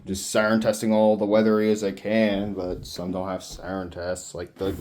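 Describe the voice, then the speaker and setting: A man hosting a casual, unscripted hobby demonstration, mumbling haltingly to himself and swearing now and then into a handheld camera. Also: fairly low pitch, level tone, a little mellow. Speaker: hobbyist video host.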